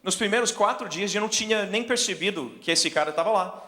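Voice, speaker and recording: A man in his forties with an American accent, a native English speaker, preaching through personal stories in a self-deprecating, halting way. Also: high voice, bright, forceful tone. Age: 40s. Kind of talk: sermon with personal storytelling. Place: large indoor hall, speaking to an audience. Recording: long hall reverb from PA.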